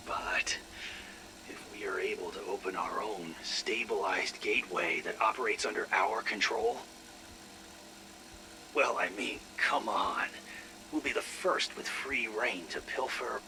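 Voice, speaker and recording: Man acting a smug, low-voiced scheming scientist. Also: background noise, natural voice. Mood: gleeful, greedy. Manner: theatrical, pompous, savoring his words. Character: smug, ambitious, greedy male scientist, coolly sinister. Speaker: scientist recording personal logs. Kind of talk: dramatic audio log monologue.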